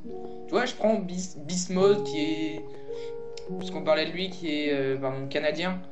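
A man's voice: fairly high pitch, unhurried. A young man from France chatting casually while playing a game, teasing his partner and swearing in jest. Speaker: gamer, co-host of a Minecraft video. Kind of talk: casual gaming chat. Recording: echoey room with game music.

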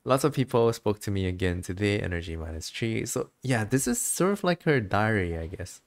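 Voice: sits low, very animated, moderate pace. A streamer giving casual, chatty commentary on a game and reading its dialogue aloud.

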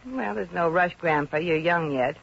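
A woman's voice: on the low side, normal speed, vocal fry, slightly rough. An actress playing a plucky, wisecracking, broke showgirl in a 1950s radio comedy, with a brassy, wry, slangy way of talking. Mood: sassy. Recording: dull, noisy 1950 radio recording.

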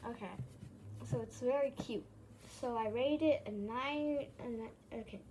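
High-pitched young woman, slow rambling chat. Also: a little mellow, a little hiss or hum, low-quality recording. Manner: hesitant, often repeating phrases. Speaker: vlogger showing a plush toy collection.

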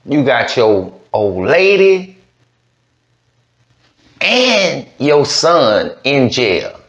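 A man's voice: mid-range voice, sing-song, very slow, a bit bright. A man hosting a reaction video, talking in a drawling, slangy, incredulous way. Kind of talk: casual reaction commentary. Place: virtual news studio set. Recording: lo-fi, roomy sound.

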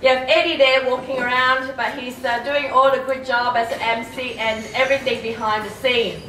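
A woman with a high voice speaks into a handheld mic on a club hall stage, measured and earnest, English being her second language. The sound is echoey and distant, caught by a camera far back with a faint hiss. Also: level tone, pushed and bright. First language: not English. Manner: measured, earnest, with some hesitations. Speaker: politician giving a speech. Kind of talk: formal ceremony speech.

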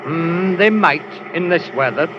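A man's voice: on the high side, lively intonation, a little slow. An actor playing a hot-tempered male henchman who curses and gives orders, in 1930s radio drama style. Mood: angry. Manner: impatient, cursing. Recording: muffled old radio broadcast, faint hiss.

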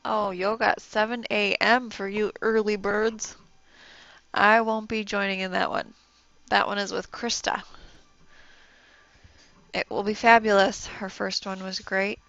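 Soft-spoken woman leading a slow yoga class. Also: medium pitch, flat delivery, gravelly, dry recording, dull audio. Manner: gentle, encouraging cues with breathing prompts. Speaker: yoga instructor. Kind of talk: guided yoga class instruction.

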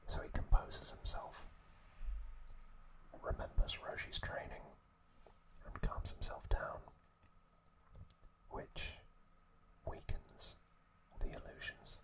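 A man narrating trivia in a hushed ASMR style, unhurried and full of pauses. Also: rough recording. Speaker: host.

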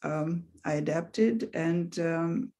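A woman from Russia, speaking English as a second language with a Russian accent, talking as an author guest in a relaxed interview and often pausing with "um". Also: lowish voice. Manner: thoughtful, pauses with frequent "um". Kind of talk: casual interview conversation.

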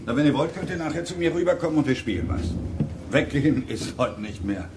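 A man's voice: on the high side, lively intonation, fast, over loud music, natural voice. A man playing a kindly older great-uncle, a shepherd, in plain clipped sentences in a youth audio drama. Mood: matter-of-fact.

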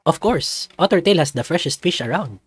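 Visual novel role: eager young male otter local played by a man, breezy and friendly, animated pitch, dry close sound. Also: fairly quick, breathy edge, no echo. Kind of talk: visual novel character voice read aloud.

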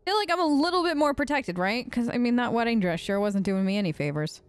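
A woman's voice: fairly high pitch, animated, fast, smooth, no echo. A woman doing chatty, sarcastic in-character commentary over a video game, close on the mic. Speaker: gaming video host.

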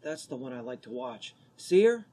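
A man acting an eager, lonely teenage boy in an amateur radio drama, boyish and husky. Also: medium pitch, sing-song, moderate pace, dark tone.

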